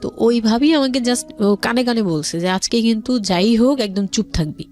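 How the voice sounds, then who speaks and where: A Bangladeshi woman telling her life story as a radio interview guest, speaking in a halting, confiding way with repeated words. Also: a little high, a little mellow. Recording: music under the voice. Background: background music.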